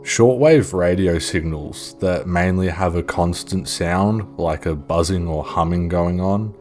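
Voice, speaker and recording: Deep-voiced man narrating casually over music. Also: measured pace. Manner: informal, offhand. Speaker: video host and narrator.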